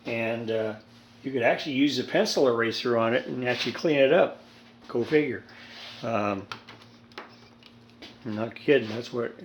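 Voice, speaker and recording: A man in his seventies giving a casual, rambling, folksy hands-on repair demonstration with dry humor. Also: medium pitch. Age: 70s. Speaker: hobbyist radio restorer. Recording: steady background noise, homemade video.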